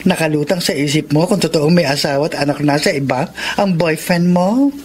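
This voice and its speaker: A man's voice: a little high, brisk, a little hiss or hum, lightly acted. A man acting a helpful, earnest young friend and confidant in a Tagalog radio drama. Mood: concerned, coaxing. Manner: earnest, coaxing.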